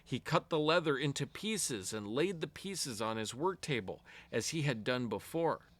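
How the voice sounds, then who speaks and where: A man reading a fairy tale aloud to children as an expressive storyteller, with deliberate storybook phrasing. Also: medium pitch.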